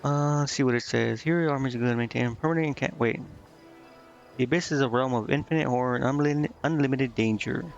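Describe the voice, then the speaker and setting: A man's voice: medium pitch, brisk, vocal fry, soft background music. A man with a mid-range voice talks casually through a video game playthrough. He rambles as he thinks aloud and stumbles when reading text out. The recording is a bit dull and lo-fi. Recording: dull, lo-fi microphone sound.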